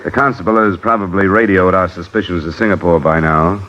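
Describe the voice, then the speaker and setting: A man's voice: low voice, steady tone, brisk, fairly bright tone, a little hiss or hum. An actor playing a blunt, probing investigator who narrates his case, dry and direct, in a 1950s radio detective drama. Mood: blunt, confronting. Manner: dry, direct, matter-of-fact. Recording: dull lo-fi old radio broadcast.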